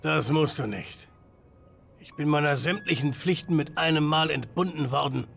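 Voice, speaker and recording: An actor voicing a gruff, foul-mouthed, betrayed but loyal enforcer in a video game, with a gravelly, blunt delivery. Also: male voice, a little high, moderate pace, a bit bright, slight background noise. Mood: gruff, determined. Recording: background music. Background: game music.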